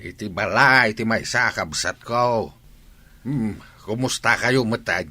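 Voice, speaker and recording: A man's voice: fairly low pitch, a little slow, pushed and bright, dry recording, exaggerated voice. A man playing a plaintive old grandfather in a drama. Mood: homecoming. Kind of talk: radio-style drama dialogue.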